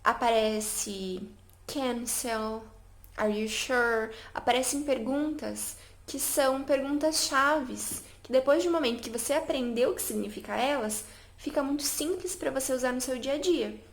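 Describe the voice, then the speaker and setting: A woman's voice: high voice, unhurried. A woman chatting casually to camera about learning languages, with many fillers and an upbeat, talkative manner. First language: Portuguese. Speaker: language vlogger.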